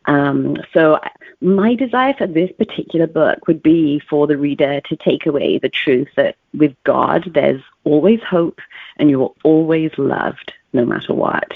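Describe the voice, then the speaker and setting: A woman, an author guest telling stories in an expressive, conversational way. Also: sits low, measured pace, husky, dry recording. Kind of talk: interview, animated storytelling. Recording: narrow phone-like audio.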